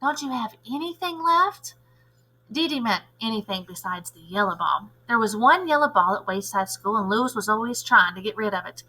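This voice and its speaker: A woman's voice: mid-range voice, lively intonation, fairly quick, hardly any pauses, pushed and bright, poor audio. A woman reading a children's storybook aloud as a teacher would.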